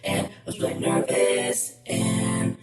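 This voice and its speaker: Woman storyteller through a very deep voice effect. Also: fairly even intonation, slow pace, creaky, roomy sound, loud music behind the voice. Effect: pitch-shifting musical voice effect. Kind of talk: casual personal storytelling through a TikTok voice effect.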